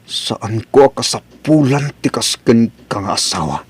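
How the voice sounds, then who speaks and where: An actor playing a bitter, scornful husband in an Ilocano audio melodrama, curt and accusatory, with a husky voice and an Ilocano accent. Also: male voice, medium pitch, animated, unhurried, a little hiss or hum, close to an everyday voice. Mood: bitter, accusing. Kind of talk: Ilocano radio-style melodrama acting.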